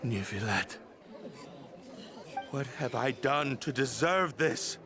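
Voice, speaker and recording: A man's voice: mid-range voice, animated, takes it slowly. An actor playing a condemned man pleading with his judge, accusing and desperate. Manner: pleading and accusing, rising to shouts. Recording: game cutscene with music.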